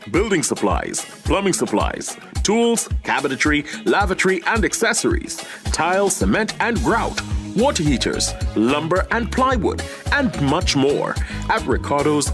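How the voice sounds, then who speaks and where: A man reading a scripted store advertisement as an announcer. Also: on the high side. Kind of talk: scripted hardware store ad read. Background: music.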